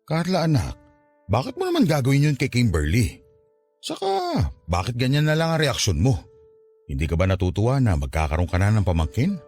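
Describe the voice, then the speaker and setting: A man's voice: mid-range voice, wildly swooping pitch, fairly quick, a little mellow. A Filipino man playing a patient, resigned older father in a radio drama, soothing and forgiving in his manner. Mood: puzzled, gentle. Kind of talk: Tagalog radio drama acting. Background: background music.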